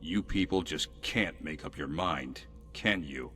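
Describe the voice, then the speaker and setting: A man playing a gruff, exasperated warrior in a fantasy video game, blunt and grumbling. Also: very deep voice, unhurried, pushed and bright, faint music behind, full character voice. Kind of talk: scripted video game character dialogue.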